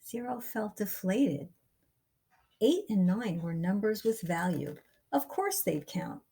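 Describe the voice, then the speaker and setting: Slow, slightly husky woman reading to children. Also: mid-range voice, expressive, warm tone. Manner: deliberate, dramatic phrasing for young listeners. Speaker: storyteller reading a picture book aloud. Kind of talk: expressive children's read-aloud narration.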